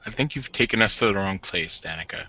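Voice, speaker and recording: A man's voice: sits low, animated, quick pace, sharp tone, highs cut off, pitched below the performer's own voice, heavily acted. A man voicing a brutish but courteous werewolf warrior bridegroom in a tabletop game, speaking tersely and formally. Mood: warm, gruff.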